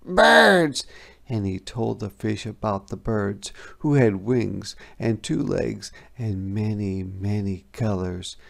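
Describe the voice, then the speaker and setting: A storybook reader giving an expressive, deliberate, dramatic read-aloud narration for children. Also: deep voice, creaky.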